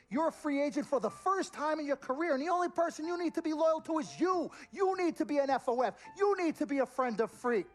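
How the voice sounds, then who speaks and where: Pushy, slick male sports agent. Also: extremely high pitch. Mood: heated, insistent. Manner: slick, emphatic, repeats words for effect. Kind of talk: cinematic sports game dialogue.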